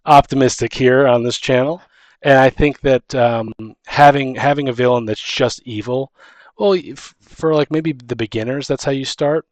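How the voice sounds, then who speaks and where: A man with a mid-pitched voice talks casually as a podcast co-host, recorded dry with no room sound. He rambles a little, doubling back on his phrasing as he goes. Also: steady tone, moderate pace, dry recording. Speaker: podcast co-host and game master. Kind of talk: casual podcast chat about tabletop gaming.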